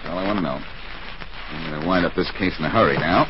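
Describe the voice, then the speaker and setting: A man's voice: rumbling low, fairly quick. A man playing a wisecracking, self-assured amateur detective in a glib, needling 1940s radio acting style. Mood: brisk, determined. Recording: muffled, noisy 1940s radio recording.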